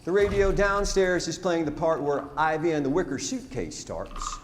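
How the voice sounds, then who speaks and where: A man's voice: a little high, lively intonation, normal speed. A man performing a rhymed narrative ballad as a storyteller, with dramatic, folksy, emphatic delivery in a theatre. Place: on stage in a theatre. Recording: hall reverb, noisy live theatre recording.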